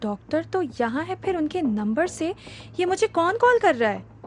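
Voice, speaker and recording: A woman's voice: high voice, animated, normal speed, a little hiss or hum. A woman voicing an alarmed, suspicious wealthy woman who accuses a stranger. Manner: shocked, accusing.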